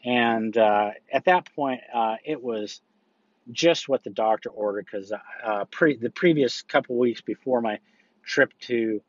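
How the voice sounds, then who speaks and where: A man's voice: mid-range voice, unhurried, no room sound, muffled recording. Rambling male podcast host, dry sound. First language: English. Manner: rambling, frequent fillers and restarts. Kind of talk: casual monologue.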